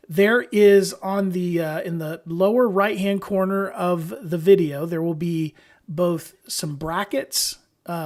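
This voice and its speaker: A man with a hoarse voice from allergies, hosting a casual live-streamed software tutorial from home. He is chatty and upbeat and talks straight to his viewers. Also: high voice. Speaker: software trainer hosting a webinar.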